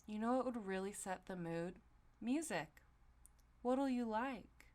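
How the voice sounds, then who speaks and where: A woman's voice: mid-range voice, expressive, measured pace, darkish tone, voice pushed up, natural voice. A woman voicing a confident, affectionate female pop star in a comic fan fiction reading, with an assured, earnest delivery. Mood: playful.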